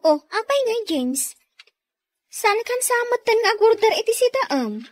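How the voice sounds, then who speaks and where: A woman playing an excitable, nosy female friend in a radio comedy drama. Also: very high-pitched, measured pace, no echo, heavily acted. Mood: nosy, teasing.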